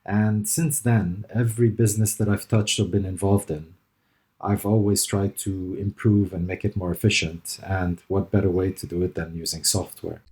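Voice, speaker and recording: A middle-aged man from Lebanon answering interview questions thoughtfully, pausing often with fillers. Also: low-pitched, level tone, warm tone. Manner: thoughtful, hesitant with fillers and restarts. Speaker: interview guest, consultant.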